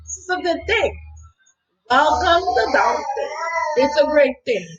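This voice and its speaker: A woman healer giving an earnest motivational talk, telling a personal story in a soft, lilting voice and often checking in with "okay?". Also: sits high, animated, unhurried, highs cut off, heavy background noise. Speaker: spiritual healer and mindset coach.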